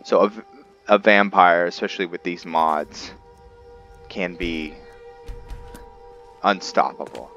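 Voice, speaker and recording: A man's voice: low-pitched, slow, pauses often, a bit bright, highs cut off. A man giving casual roleplay commentary on a video game, often hesitant and trailing off mid-thought. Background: background music.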